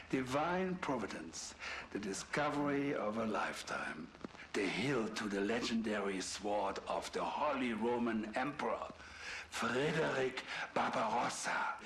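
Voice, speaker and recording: A proud Nazi scientist, speaking slowly with grandiose, deliberate pauses. It is a sinister video game audio log, with faint noise and ambience behind the voice. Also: male voice, fairly low pitch, fairly bright tone. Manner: theatrical, deliberate, dramatic pauses. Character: proud, obsessed male Nazi German scientist, grandiose and chilling.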